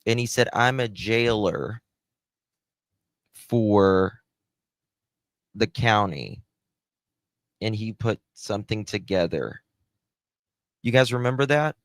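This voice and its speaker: A man's voice: lowish voice, animated, slow, pauses often, no room sound. A man hosting a casual live commentary, thinking aloud in a conversational way as he reacts and reads out comments. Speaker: host reacting to recordings and reading live comments.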